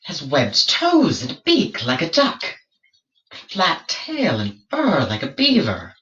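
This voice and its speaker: A pompous colonial explorer, voiced by a storyteller, pesters a creature with nervous excitement. The voice is bright and quick to jump up in pitch. It sounds roomy and a bit distant. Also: lo-fi sound, full character voice. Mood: fussy. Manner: fussy, excitable. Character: pompous English explorer-scientist.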